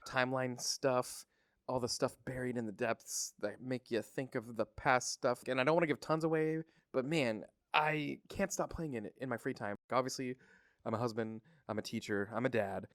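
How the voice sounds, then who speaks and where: A man's voice: medium pitch, dry recording. Upbeat male podcast co-host, dry sound. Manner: enthusiastic, laughs often. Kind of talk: casual pop culture chat.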